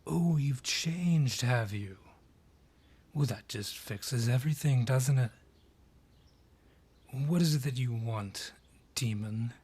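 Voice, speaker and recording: A man's voice: mid-range voice, takes it slowly, long pauses, a little mellow, husky. An actor voicing a haughty male dryad with a grudge in an audio roleplay, sardonic and theatrical, drawing out each taunt. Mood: sardonic, contemptuous. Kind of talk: scripted audio roleplay acting.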